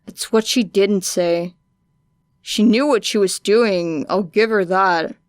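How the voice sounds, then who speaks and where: A woman doing a gruff tired hero, curt and clipped, slow delivery, dry sound. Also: medium pitch, no echo, natural voice. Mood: grumbling.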